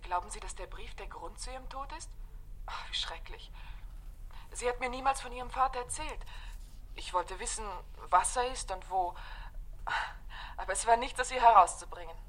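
A woman's voice: on the high side, moderate pace, pauses often, fairly bright tone, slight background noise, natural voice. An actress playing a composed but saddened woman witness in a German radio crime drama, speaking expressively and candidly. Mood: troubled.

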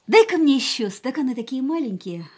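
A woman's voice: sits high, big pitch swings, a little fast, darkish tone, a little hiss or hum. An actress in a radio drama playing a restless, defiant young woman who hides her fear, with an emotionally charged delivery. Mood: restless bravado. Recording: studio radio play with background music.

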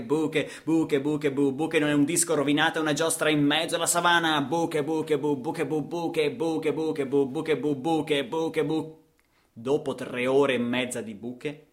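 An actor playing an impassioned male traveller in a radio theatre monologue, telling his journey theatrically with insistent repetition. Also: on the high side. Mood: frantic, excited, then measured, descriptive. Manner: theatrical, insistent repetitions, swings from hushed to shouting. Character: impassioned male traveller recounting a trip across the savanna. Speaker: actor, storyteller.

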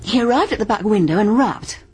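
A woman's voice: mid-range voice, lively intonation, fairly quick, a little mellow, breathy edge. An actress playing a keen, clever young woman sleuth with an English accent in a radio mystery drama. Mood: eager, explaining. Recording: faint background noise.

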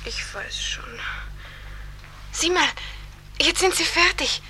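An actress playing a wistful, restless young farm wife newly dead, in a radio drama. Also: female voice, very high-pitched, animated, slow pace, sharp tone, whispered, full character voice. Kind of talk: theatrical radio drama acting.

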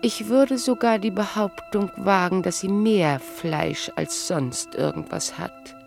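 A proud, knowing, mature wife in a radio drama. She speaks slowly and deliberately with a creaky edge, irony cutting through each phrase. Faint music sits behind her. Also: mid-range voice, a little mellow. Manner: measured, ironic, deliberate. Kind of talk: radio play acting, literary dialogue.

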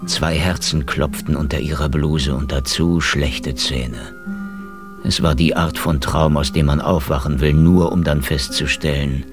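Casting: hardboiled, wisecracking KGB man, extremely deep, sardonic deadpan, noir radio play over prominent music. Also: measured pace. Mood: brooding, cynical. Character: hardboiled cynical male KGB investigator, hard-drinking, foul-mouthed, wisecracking. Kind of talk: noir radio drama, first-person narration and dialogue. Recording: music behind the voice.

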